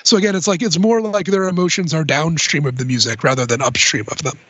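A man's voice: fairly high pitch, fast talker, lo-fi sound, noisy background. A man talking as a podcast panelist, explaining ideas in a discursive, casual way with plenty of asides.

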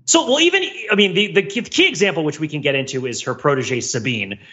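Animated, higher-pitched man podcasting. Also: fast talker, lo-fi sound. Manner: emphatic, repeats phrases for effect, acts out imagined quotes. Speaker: podcast host. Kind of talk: casual pop-culture discussion.

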